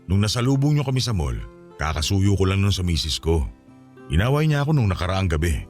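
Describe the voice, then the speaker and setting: A man's voice: low-pitched, big pitch swings, brisk, a little mellow, faint music behind. A man acting as a defensive, pleading married cheater in a Filipino radio drama. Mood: sheepish.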